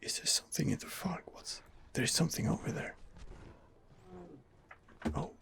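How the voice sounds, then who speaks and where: A man hosting an ASMR game playthrough, muttering hesitant commentary in a breathy whisper. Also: normal speed, a bit bright. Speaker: gaming video host.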